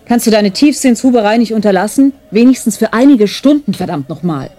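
An actress playing an exasperated, sarcastic wife in a German radio play, with a cutting, reproachful manner. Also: female voice, a little high, expressive, fairly quick, warm tone. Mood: sarcastic, annoyed. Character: exasperated, sarcastic wife scolding her withdrawn husband.

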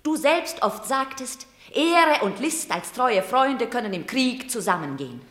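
A woman's voice: on the high side, expressive, measured pace, fairly bright tone, roomy sound. A shrewd commanding mother. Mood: urging. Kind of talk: radio drama acting.

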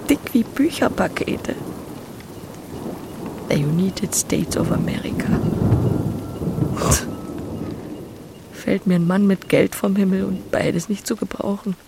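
A woman's voice: mid-range voice, gravelly, background noise. An actress in an audio drama playing an earthy, lonely farm woman who speaks in a plain, musing, matter-of-fact way, blunt but tender. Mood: amazed. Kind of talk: audio drama acting with inner monologue.

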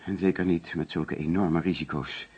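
A man's voice: low-pitched, fairly even intonation, moderate pace, breathy edge. An actor playing an anxious, defensive insurance agent under suspicion in a radio play, hesitant and flustered as he protests. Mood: agitated, protesting. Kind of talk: Dutch radio play acting. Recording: dull, lo-fi old radio recording.

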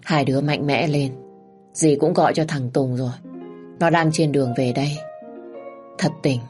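A woman voicing a worried middle-aged aunt who comforts her nieces gently. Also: sits low, steady tone, moderate pace, soft background music, close to an everyday voice. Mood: sorrowful.

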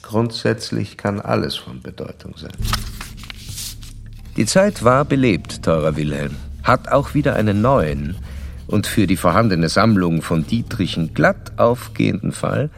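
A middle-aged Viennese analyst in a radio drama, low-voiced and creaky. He speaks in formal, measured phrases, clinical and self-assured. There is faint noise behind him. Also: very animated, heavily acted. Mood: clinical, instructing. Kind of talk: German radio play acting, letter reading and dialogue.

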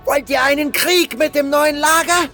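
A man playing an outraged, commanding baron who shouts at his men in a fantasy audio drama. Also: very high voice, steady tone, faint music behind, voice pushed up. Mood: raging. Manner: shouting, outraged, drawn-out cries.

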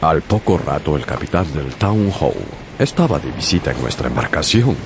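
An actor playing a sailor who narrates a whaling tale in a radio drama, in a grave storytelling manner. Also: male voice, a bass voice, big pitch swings, normal speed, very noisy. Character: male sailor narrator recalling a doomed whaling voyage. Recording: radio drama with music behind.

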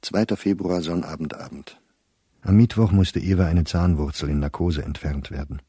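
A middle-aged scholar reading his private diary in a radio drama. The voice is very low and dark, with a husky grain, recorded dry and close. He speaks with restraint, isolated, anxious and resigned. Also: normal speed, no echo. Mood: uneasy, dated entries. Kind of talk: literary radio-play diary monologue.

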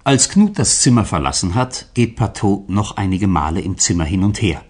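Male radio-play narrator: deep-leaning, rough-edged voice, expressive scripted storytelling. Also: normal speed.

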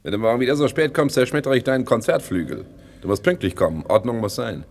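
An actor playing a blunt, bossy young rag collector who shares a room, speaking curtly and barking orders in a radio play. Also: male voice, mid-range voice, animated, fast talker, gravelly, faint music behind. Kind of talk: 1960s radio play acting.

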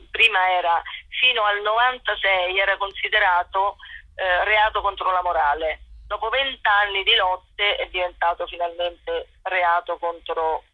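A woman's voice: fairly low pitch, no echo. Woman on a dull phone line. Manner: halting, self-correcting. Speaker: actress and playwright, guest. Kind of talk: spontaneous radio interview about own show.

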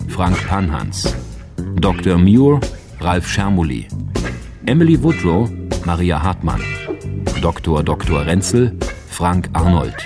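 Casting: formal male credits announcer, very deep and unhurried, over guitar music. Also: gravelly. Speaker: radio play announcer.

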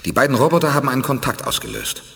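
A man's voice: medium pitch, very fast, bright, forceful tone, in a large hall, lightly acted. An actor playing a determined male space commander who gives orders to his team with urgency. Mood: resolute.

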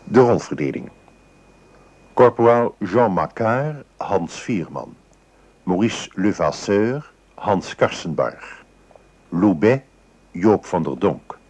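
Slow, deep-voiced male radio announcer. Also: animated, darkish tone, husky. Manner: formal, measured. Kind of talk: scripted radio credits announcement.

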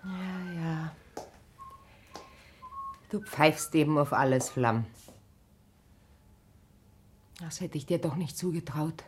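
Slow, low-voiced, wronged country wife. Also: female voice, a little hiss or hum. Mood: bitter reproach. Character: weary, bitterly wronged middle-aged country wife, resigned yet proud. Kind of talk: naturalistic German radio drama acting.

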